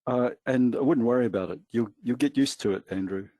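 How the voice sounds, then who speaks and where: A man with a New Zealand accent co-hosting a trading webinar in a relaxed, hesitant way with many fillers. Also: mid-range voice, fairly quick, dry recording. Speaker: webinar co-host. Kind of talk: casual webinar talk.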